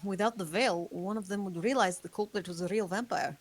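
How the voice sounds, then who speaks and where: A woman reading an ancient, hypnotic female vampire at a table read, calm and soft-spoken. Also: mid-range voice, a little fast, slightly rough, natural voice. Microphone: online voice call.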